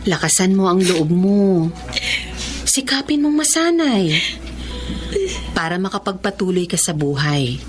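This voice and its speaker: A woman's voice: lowish voice, big pitch swings, a little slow, pauses often, soft background music. A woman with a Filipino accent playing a caring, encouraging teacher of blind students in a radio drama, motherly and reassuring.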